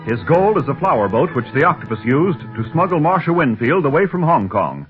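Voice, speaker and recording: A man's voice: fairly high pitch, big pitch swings, fairly quick. A man narrating an adventure serial as an announcer in 1930s radio style. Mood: dramatic. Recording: muffled 1930s radio recording, music behind.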